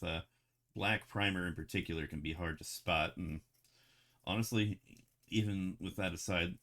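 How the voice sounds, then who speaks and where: A man streaming live, chatting casually in a relaxed, rambling way while he paints models, laughing often. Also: low voice.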